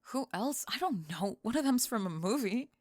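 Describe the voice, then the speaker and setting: A young woman playing a sharp-tongued, defensive goth college student who is secretly smitten, in an ASMR roleplay, speaking in halting, clipped, emphatic phrases. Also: on the high side, lively intonation, dry recording, clean studio sound. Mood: defensive, flustered. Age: young adult. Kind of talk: ASMR roleplay monologue, intimate audio drama.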